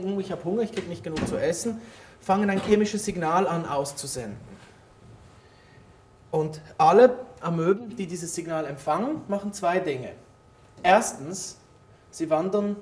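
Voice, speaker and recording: A native German-speaking man gives an explanatory talk in an informal manner. His voice sits high for a man. It reaches the listener from some distance, with a clear room echo around it. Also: a little mellow. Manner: informal, addresses audience with questions. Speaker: conference speaker, former molecular biologist. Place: conference room, talk before an audience. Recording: roomy echo, distant microphone in hall.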